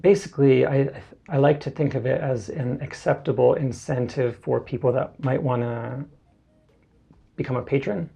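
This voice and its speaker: A young man explains things in a relaxed, rambling way, threading his points together with lots of filler words. His voice has a creaky edge and a slightly husky grain, at an ordinary middle pitch. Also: normal speed, dull audio. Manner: loose and explanatory, many "like" fillers. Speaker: interview guest, Japanese tutor. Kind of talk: casual conversational interview.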